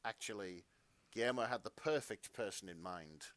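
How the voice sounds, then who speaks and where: A man's voice: lowish voice, moderate pace, fairly bright tone, dry recording. A man co-hosting a podcast, narrating fan fiction melodramatically with casual asides.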